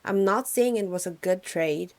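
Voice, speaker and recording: A woman's voice: mid-range voice, moderate pace, darkish tone. Wry, reflective young woman from Korea. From South Korea. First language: English. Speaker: English teacher and channel host. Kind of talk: personal storytelling to camera.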